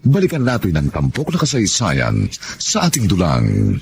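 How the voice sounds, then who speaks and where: A man's voice: deep voice, wildly swooping pitch, moderate pace, gravelly, a little mellow, a little hiss or hum. A Filipino man announcing a radio drama in a dramatic scripted style.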